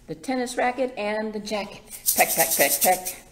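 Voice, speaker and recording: A woman's voice: mid-range voice, moderate pace, close to an everyday voice. A woman voicing an eager, playful young woodpecker in a bouncy, singsong picture-book read-aloud. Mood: busy, gleeful. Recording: echoey room with background noise.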